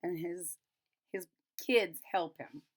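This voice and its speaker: A woman reading a children's storybook aloud, pausing to retell and explain it simply for young listeners. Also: lowish voice. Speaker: storybook reader. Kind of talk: children's picture-book read-aloud with retelling in plain words.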